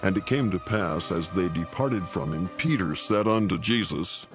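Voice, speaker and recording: A man narrating scripture in a solemn, measured and formal reading style. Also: low voice, music in the background.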